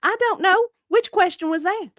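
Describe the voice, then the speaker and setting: A woman's voice: extremely high pitch, moderate pace, a bit bright, dry recording, studio quality. A woman playing a defensive, indignant witness in a dramatic reading of a deposition, evasive and insistent in clipped answers.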